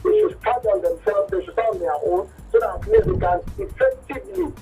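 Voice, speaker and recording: A man's voice: very high-pitched, wildly swooping pitch, a little fast, mellow, husky, far from the mic, soft background music, rough recording. A man calling into a radio show, emphatic and pleading, repeating words as he pushes his view. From Nigeria. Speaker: caller. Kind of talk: phone-in comment on radio.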